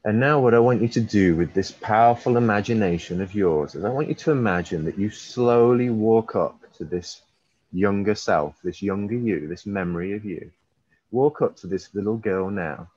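Male hypnotherapist, low register, slow repeated suggestions stretched for emphasis, echoey room sound. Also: a little mellow, breathy edge. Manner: repetitive, drawn-out suggestive phrasing with emphasis. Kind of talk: guided hypnosis session. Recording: roomy, slightly dull recording.

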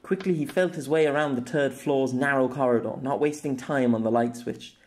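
A man narrating his own story as an audiobook, in a steady, measured voice. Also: mid-range voice, a little hiss or hum. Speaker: author and narrator. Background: faint music.

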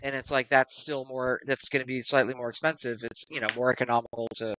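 A man co-hosting a podcast on a remote call, talking casually and haltingly as he thinks aloud. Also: medium pitch, fairly even intonation, pushed and bright, slight background noise, low-quality recording. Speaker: podcast co-host. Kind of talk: casual tech podcast discussion.

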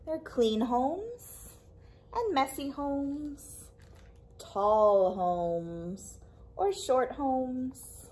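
A young woman reading a picture book aloud to small children, expressively, pausing for questions and little asides to her listeners. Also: high-pitched, expressive, warm tone, a little hiss or hum. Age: young adult. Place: small indoor library room with a puppet theatre.

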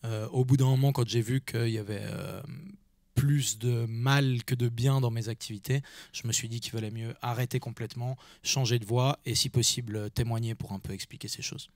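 A man's voice: medium pitch, steady tone, moderate pace, darkish tone, dry recording, studio quality. A young man speaking as a panelist at a festival round table, reflective and hesitant, into a handheld mic. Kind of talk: panel discussion.

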